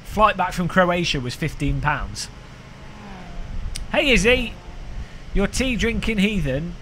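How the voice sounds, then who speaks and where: Bright-voiced sim racing streamer. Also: male voice, high-pitched, lively intonation, slow, a little hiss or hum. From United Kingdom. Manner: rambling, joking, frequent restarts. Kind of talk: casual live stream chat, reading chat messages.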